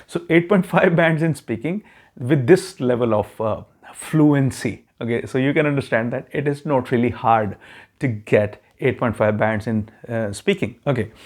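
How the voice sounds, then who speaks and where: A man's voice: mid-range voice, normal speed, a little mellow. A man, self-described as not very fluent in English with a neutral accent, explaining a test strategy to his viewers in a casual talk with frequent okay check-ins. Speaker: YouTube channel host giving immigration advice.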